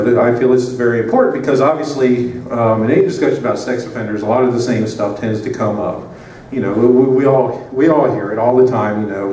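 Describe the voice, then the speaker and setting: A man's voice: mid-range voice, mellow, far from the mic. A man giving a conference presentation into a handheld microphone, talking loosely with lots of fillers and restarts. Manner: rambling, many fillers and restarts. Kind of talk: conference talk. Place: conference room. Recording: roomy sound from off mic with faint noise.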